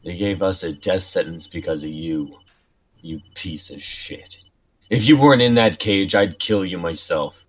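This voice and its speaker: A man's voice: sits low, unhurried. A man reading the part of a bitter, condemned male prisoner in a live dramatic reading, with an angry, cursing delivery. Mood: furious.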